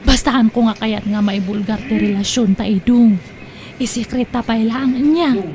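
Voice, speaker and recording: A woman's voice: a little high, moderate pace, darkish tone, slight background noise, lightly acted. A woman playing a coy, secretive young woman in a comedy drama, with an Ilocano accent. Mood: hushed, secretive. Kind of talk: Ilocano comedy radio-style drama acting.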